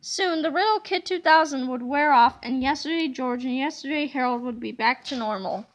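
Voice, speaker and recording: A woman reading a children's chapter book aloud with expressive storytelling. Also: high voice, normal speed, low-quality recording. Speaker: read-aloud narrator.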